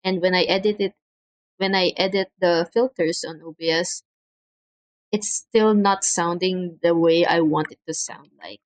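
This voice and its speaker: A young woman from the Philippines chats casually on her livestream, speaking softly with frequent pauses and fillers. Her cheap mic gives a dry, close sound in a room at home. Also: on the low side, fairly even intonation, no room sound. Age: young adult. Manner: soft-spoken, hesitant, many fillers. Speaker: streamer. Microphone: cheap desk mic.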